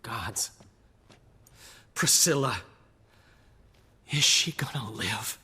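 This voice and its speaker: Emotional, very breathy dandy bard. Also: male voice, mid-range voice, very slow, long reverb tail, heavily acted. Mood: shocked, distraught. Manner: theatrical, expressive. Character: dramatic, emotional dandy bard, devoted friend. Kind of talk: video game voice acting.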